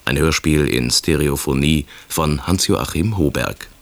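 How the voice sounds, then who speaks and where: A man's voice: extremely low pitch, a little slow. A man announcing a radio play in scripted, formal narration.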